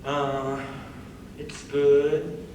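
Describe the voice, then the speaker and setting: Guy playing awkward evasive comedian, really slow and halting, higher voice, far off in a big hall. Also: level tone. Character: awkward, evasive adult male comedian in therapy. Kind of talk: live dramatic script reading. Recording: distant echoey hall, faint noise.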